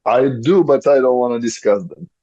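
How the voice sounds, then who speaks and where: A man in his thirties with a Bulgarian accent, a podcast guest speaking English as a second language and often pausing to search for words. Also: mid-range voice, expressive, warm tone, no room sound, highs cut off. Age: 30s. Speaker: guest, volleyball player. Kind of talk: podcast interview.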